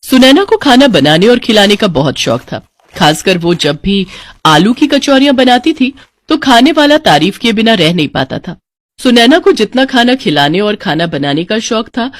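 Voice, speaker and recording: A woman's voice: a little high, sing-song, moderate pace, dry recording. A woman with an Indian accent reading scripted narration for a moral story.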